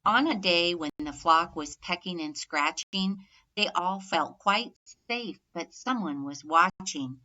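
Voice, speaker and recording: A woman reading a rhyming children's story aloud expressively, measured and emphatic on the rhymes. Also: fairly low pitch, pushed and bright, highs cut off. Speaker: storybook reader.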